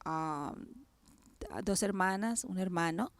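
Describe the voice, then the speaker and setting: A woman from Cali, Colombia, with a Colombian accent, sharing her faith and childhood as a guest, speaking hesitantly with many pauses and fillers. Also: fairly low pitch, no room sound. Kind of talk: personal testimony in an interview.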